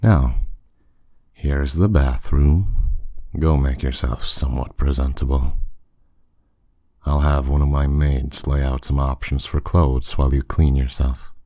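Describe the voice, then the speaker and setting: Demon lord played by a man, extremely low voice, dry close sound. Smug, commanding, teasing; intimate audio roleplay. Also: fairly even intonation, a little mellow, no room sound. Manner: commanding, condescending, unhurried, teasing. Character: dominant, suave male demon lord, smugly teasing. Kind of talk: roleplay audio drama, addressed intimately to listener.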